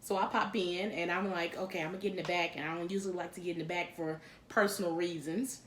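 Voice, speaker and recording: Animated woman co-host in an echoey room. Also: fast. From Chicago, south side. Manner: animated, acts out quoted voices with big pitch jumps. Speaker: podcast co-host. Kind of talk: casual storytelling chat. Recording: roomy echo with faint background noise.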